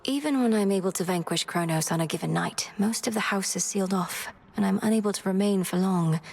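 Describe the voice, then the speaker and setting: A woman's voice: mid-range voice, a little fast, creaky, darkish tone. A woman voicing a determined young goddess and heroine in a video game, speaking formally, earnestly and with resolve. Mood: earnest, troubled. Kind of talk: dramatic video game dialogue. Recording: long hall reverb.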